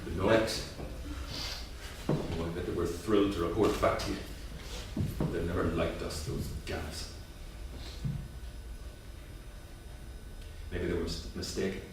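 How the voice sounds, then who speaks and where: A man's voice: sits low, steady tone, moderate pace, pauses often, lightly acted. An actor in a staged play reading, playing a domineering, volatile husband and businessman with an emphatic delivery. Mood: wounded, suspicious. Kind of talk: staged reading, theatrical dialogue. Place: indoor theatre at a staged reading. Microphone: distant room microphone. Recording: distant, very echoey theatre room, faint noise.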